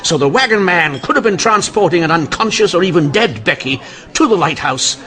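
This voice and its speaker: An earnest Victorian police inspector in a radio mystery. His pitch moves in lively swings as he puzzles things out, polite and inquisitive. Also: a little fast. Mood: eager, reasoning. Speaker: radio drama actor. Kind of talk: old-time radio mystery acting.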